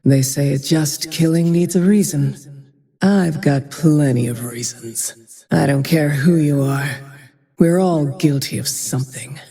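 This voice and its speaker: A woman playing a cold, vengeful, menacing female archer in a video game, with a whispery edge and slow, icy delivery. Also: low voice, mellow, big-room sound, soft background music. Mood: cold menace. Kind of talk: video game character voice lines.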